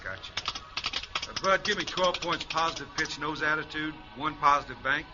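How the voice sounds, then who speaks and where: An actor playing a stern, pious, stubborn astronaut commander in a radio drama. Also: male voice, a little high, moderate pace, lightly acted. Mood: dazed, then commanding. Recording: muffled sound under loud music.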